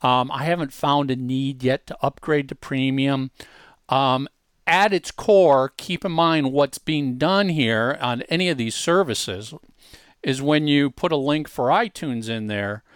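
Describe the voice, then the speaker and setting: A man co-hosting a podcast, explaining software features in a casual, conversational way with emphatic repetition. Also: mid-range voice, a little slow, clear, resonant tone, dry recording, studio quality. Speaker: podcast co-host giving a software demonstration. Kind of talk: casual explanatory talk, walking through features.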